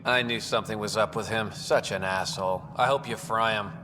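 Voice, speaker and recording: A man's voice: on the low side, steady tone, fairly quick, close to an everyday voice. Man playing blunt crewman in reverberant space. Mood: indignant, eager. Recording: reverberant, with loud music behind.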